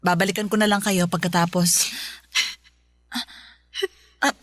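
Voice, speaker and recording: A woman's voice: on the low side, steady tone, a little slow, dry recording, clean studio sound. A Filipino woman playing a polite, caring spa masseuse in a radio drama, chatty, deferential and reassuring. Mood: coaxing, then flustered, worried.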